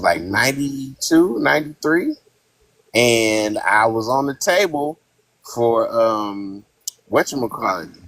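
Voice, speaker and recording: A man's voice: lowish voice, a bit bright, dry recording. A man in his fifties from Northern California, calling into a casual podcast chat and talking bluntly, with heated, profane storytelling. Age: 50s. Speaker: live-stream caller.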